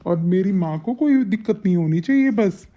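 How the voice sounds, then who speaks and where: A woman's voice: animated, normal speed, warm tone, close to an everyday voice. A woman voicing a devoted, protective adult son in a melodramatic family cartoon, with an emphatic, insistent manner. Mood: firm, devoted. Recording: dull, low-fidelity sound.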